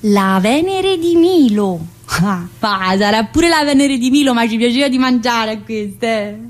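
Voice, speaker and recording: A woman's voice: expressive, unhurried. An actress playing a crude, chatty woman prisoner. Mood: gossipy. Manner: chatty and crude, veering from loud outbursts to low muttering. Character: earthy, wisecracking woman prison inmate. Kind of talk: stage monologue.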